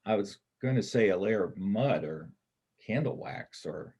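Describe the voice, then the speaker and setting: A man in a readers' theater play acting a wounded, rattled bank robber on the run, with a halting, stammering, rambling delivery. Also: on the low side, expressive, darkish tone, husky, exaggerated voice. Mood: dazed, halting.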